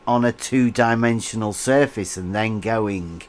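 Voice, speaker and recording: Guy on a livestream chatting about comments, slow and lowish, kinda amused. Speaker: livestream co-host. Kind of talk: casual chat reading out viewer comments.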